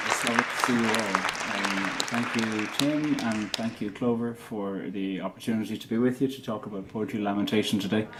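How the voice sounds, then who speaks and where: A quiet, soft-spoken man with an Irish accent, giving an informal talk as guest teacher over a video call. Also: on the low side, fairly even intonation, fairly quick, hardly any pauses, loud music behind the voice, a loud crowd, poor audio. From Ireland.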